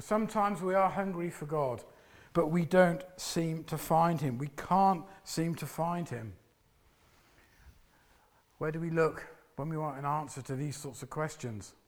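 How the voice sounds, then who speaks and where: A man preaching a sermon with a loud, booming voice, pacing about and sometimes dropping to a soft prayerful tone. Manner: drops to soft hushed prayer voice.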